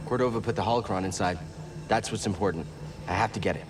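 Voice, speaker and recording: A man's voice: on the low side, fast talker, background noise, lightly acted. A young man playing an earnest, determined Jedi in a video game, speaking in an understated, sincere way. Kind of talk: cinematic video game acting. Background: music.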